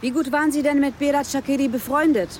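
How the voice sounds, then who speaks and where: A woman's voice: high voice, steady tone, fast talker, natural voice. An actress in a radio drama playing a police detective of about forty, dry and probing in her questions. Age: around 40. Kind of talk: naturalistic radio drama acting. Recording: clear outdoor car and traffic noise.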